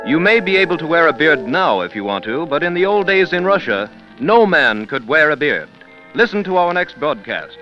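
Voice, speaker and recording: A man's voice: fairly high pitch, very animated, moderate pace, over music. A man announcing in emphatic, polished 1930s radio sales style. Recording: muffled 1930s radio recording.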